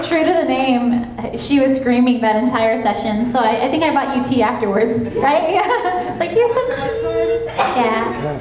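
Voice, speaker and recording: A young woman voice actress chatting and laughing on a convention panel, with a self-described soft voice. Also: high voice. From Los Angeles, California. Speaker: guest voice actress. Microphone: handheld panel mic. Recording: echoey room, clear background noise.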